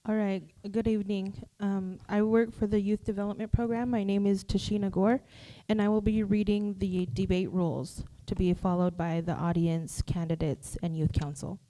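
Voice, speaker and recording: A woman's voice: mid-range voice, little pitch movement, normal speed, few pauses, mellow, poor audio. A woman staffer formally reading out the rules at a live forum. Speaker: youth program staffer reading debate rules. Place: live candidate forum before an audience.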